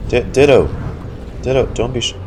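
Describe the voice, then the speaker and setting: A man's voice: medium pitch, normal speed, darkish tone, a little hiss or hum. A man doing casual live game reaction commentary as a streamer, muttering and swearing informally. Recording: faint game music behind.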